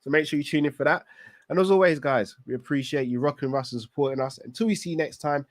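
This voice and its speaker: A man's voice: on the high side, fast. Congested man giving football opinions. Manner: opinionated, emphatic. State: congested from a cold. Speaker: football channel host. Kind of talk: casual recap monologue.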